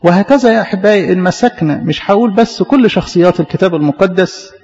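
A high-voiced man with an Egyptian accent delivers a quick sermon, throwing out repeated questions to the congregation. The recording is muffled and rings with the long reverb of a big hall. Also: darkish tone. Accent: Egyptian Arabic. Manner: questioning, repetitive. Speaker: preacher.

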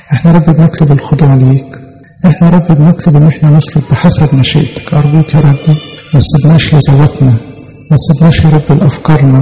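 A man's voice: on the high side, mellow. A man with an Egyptian accent leading a fervent, extemporaneous prayer in a church, pleading earnestly and repeating his appeals to God. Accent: Egyptian Arabic. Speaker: prayer leader. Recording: long hall reverb, dull lo-fi sound.

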